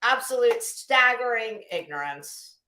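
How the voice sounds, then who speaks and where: A woman's voice: a little high, animated, a little slow, sharp tone, echoey room, poor audio. A woman hosting casual, critical commentary, blunt, emphatic and scornful in the way she talks. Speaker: YouTube commentary show host.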